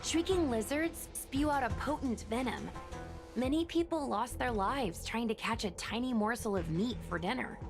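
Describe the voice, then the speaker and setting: A woman's voice: fairly high pitch, expressive, normal speed. An actress playing a blunt, impatient young woman adventurer in a game cutscene. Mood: brisk. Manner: blunt, impatient, matter-of-fact. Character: brash young woman adventurer planning a trap. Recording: voice over game music.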